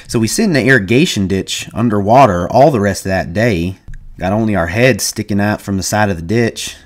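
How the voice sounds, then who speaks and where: A man voicing a young ranch hand, earnest and protective, talking in a rough rural drawl. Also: lowish voice, lightly acted.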